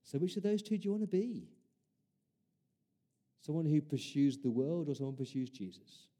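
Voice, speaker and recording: A man's voice: a little high, normal speed, warm tone. A man preaching a sermon as pastor, earnest, building his points through repeated rhetorical questions.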